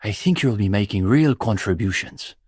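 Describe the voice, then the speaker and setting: An actor playing an earnest, pretentious young communist student intellectual with a bookish, opinionated way of talking, in a video game. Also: male voice, on the low side, expressive, normal speed, darkish tone, slightly rough, no room sound, clean studio sound. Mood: politely welcoming. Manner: bookish, opinionated, academic phrasing. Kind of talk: video game character dialogue.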